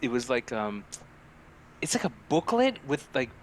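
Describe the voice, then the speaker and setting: A man's voice: medium pitch, very animated, normal speed, a little hiss or hum. A man from Canada co-hosting a casual podcast, telling anecdotes informally with some swearing. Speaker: podcast co-host.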